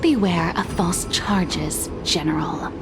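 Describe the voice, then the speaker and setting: A woman's voice: on the low side, takes it slowly. A woman voicing a sly, defiant villain in a video game, theatrical and mocking. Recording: loud music behind.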